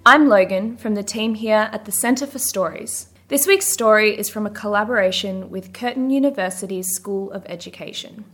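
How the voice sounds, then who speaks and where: A woman hosts a podcast and opens it with a scripted introduction. She reads clearly and at a measured pace, with a mid-range voice. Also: medium pitch, fairly bright tone. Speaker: podcast host.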